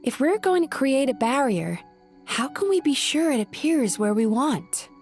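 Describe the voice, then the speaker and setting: A woman voicing a gentle, modest dream scholar in a video game, hesitant and thoughtful. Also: high voice, big pitch swings, unhurried, full character voice. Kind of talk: scripted video game voice acting. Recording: music behind the voice.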